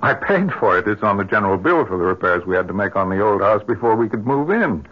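A man's voice: lowish voice, lively intonation, fast. An actor playing a patient, resigned middle-aged husband and bereaved father, earnest and reasoning, in old-time radio drama style. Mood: puzzled. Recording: muffled vintage radio broadcast.

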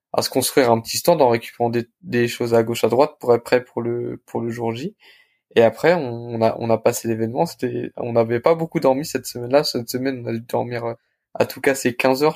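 A young adult man speaks as a guest in a casual interview. His voice sits at a medium pitch. He talks informally, with halting, hesitant phrasing as he recounts his experience. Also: creaky, a little mellow. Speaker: restaurant co-founder, interview guest.

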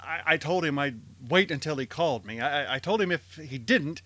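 A man's voice: a little high, animated, fairly quick, sharp tone, no echo. An actor playing a weary, anguished middle-aged male lawyer in an old-time radio drama, stammering with agitation.

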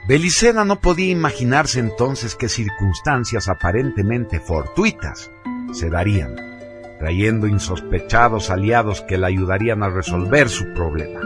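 A man narrating a radio drama from a script in a solemn, deliberate, theatrical way. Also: fairly low pitch, animated, over music.

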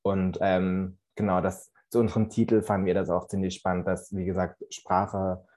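A man's voice: sits low, level tone, moderate pace, darkish tone. A young man from Central Switzerland, co-hosting a student podcast and explaining things with fillers and restarts. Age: young adult. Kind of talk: casual academic discussion.

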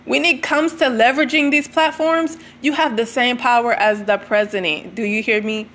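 A woman's voice: on the high side, fairly even intonation, normal speed, fairly bright tone. A woman giving a motivational talk as a guest speaker, chatty and addressing her listeners directly. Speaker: entrepreneur and stylist guest speaker.

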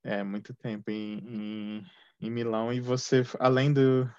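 Man, medium pitch, hesitant casual talk, dull lo-fi call sound. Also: level tone, a little slow, a little mellow, smooth, dry recording. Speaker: guest, immigrant living in Canada. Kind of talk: casual conversational interview.

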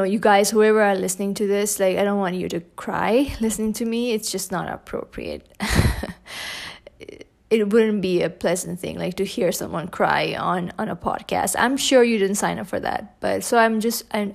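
Young woman podcasting, voice hoarse and gravelly, halting, fighting back tears. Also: mid-range voice. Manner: halting, soft-spoken, many pauses and fillers. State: hoarse, scratchy voice; grieving, holding back tears. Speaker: podcast host. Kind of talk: unscripted personal podcast talk.

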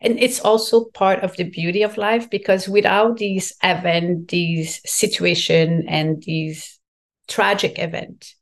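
A middle-aged woman from Quebec with a French accent, speaking reflectively as a podcast guest and often filling pauses with "like, you know". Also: on the low side, steady tone, vocal fry. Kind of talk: podcast interview conversation.

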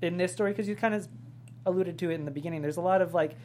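A woman's voice: lowish voice, rapid-fire, darkish tone. A woman on a panel giving comments and questions in a casual discussion style. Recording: noisy room with hum.

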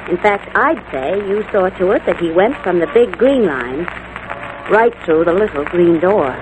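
An actress playing a sleuth's sharp-witted, protective female companion in 1940s radio drama style. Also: on the low side, expressive, normal speed, lightly acted. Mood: knowing, inquisitive. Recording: noisy old radio drama recording.

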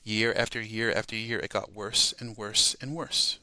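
Bright, gravelly man speaking earnestly. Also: lowish voice, fairly even intonation, moderate pace, no room sound. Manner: earnest, emphatic, often checks with "okay?" and "all right?". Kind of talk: informal devotional talk, personal testimony.

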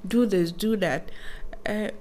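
A woman, chatting as a podcast guest and acting out what people say to her in quick asides. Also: mid-range voice, darkish tone. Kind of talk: casual interview chat.

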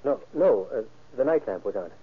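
An actor playing a shaken, wounded husband in an old-time radio crime drama, speaking haltingly and earnestly. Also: male voice, medium pitch, sing-song, breathy edge. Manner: halting, earnest witness account. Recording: muffled, band-limited vintage radio recording.

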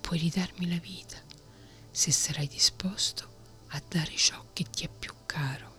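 A woman voicing a loyal servant turned to stone, speaking in a low whisper over faint music. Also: fairly even intonation, a little slow, fairly bright tone. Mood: solemn.

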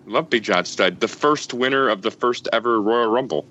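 A man's voice: mid-range voice, moderate pace, pushed and bright, dull audio. A man co-hosting a podcast, bantering casually and tossing in trivia. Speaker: podcast co-host.

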